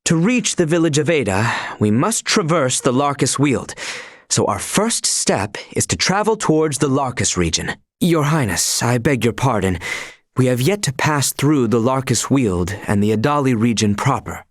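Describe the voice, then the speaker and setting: A man's voice: medium pitch, very animated, moderate pace, dry recording, clean studio sound. A man voicing a dutiful young knight escort in a video game, speaking formally in courtly, old-fashioned phrasing. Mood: earnest. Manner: formal, courtly and archaic speech. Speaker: voice actor. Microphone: close studio mic.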